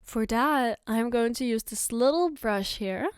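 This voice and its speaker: A smooth, fairly high voice from a woman acting as a caring girlfriend in an ASMR roleplay. She takes her time, explaining things patiently with gentle reassurance and a playful, teasing touch. The sound is dry, with hardly any room to it. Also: measured pace, dry recording, close to an everyday voice. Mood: soft, explaining. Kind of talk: ASMR roleplay, soft personal attention.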